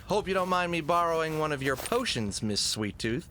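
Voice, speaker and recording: A man's voice: fairly high pitch, lively intonation, moderate pace, noisy background, natural voice. A man playing a plucky, quippy fox adventurer in a cartoon audio drama, with a brash delivery. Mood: cocky, easygoing. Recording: loud music behind.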